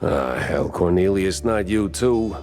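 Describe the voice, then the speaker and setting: An actor playing a gruff, wisecracking mouse private eye in a noir cartoon game, with a gravelly, sardonic delivery. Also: male voice, low voice, animated, unhurried, darkish tone, soft background music. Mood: wry.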